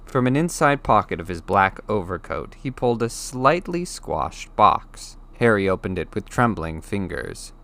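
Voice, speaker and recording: A man reading a children's novel aloud as an audiobook, in expressive scripted narration. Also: lowish voice, a little slow, vocal fry, few pauses. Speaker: audiobook reader.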